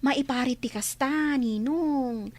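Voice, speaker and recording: A woman's voice: high-pitched, slow pace, a little mellow, no room sound. A woman with an Ilocano accent acting an earnest young village goddaughter in a radio comedy drama. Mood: earnest, troubled.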